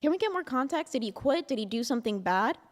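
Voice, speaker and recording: A woman's voice: sits high, big pitch swings, fast. Rambling woman host with reverb. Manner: rambling, filler-heavy, lots of "like" and "um". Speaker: podcast host. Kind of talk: casual chat. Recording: long hall reverb.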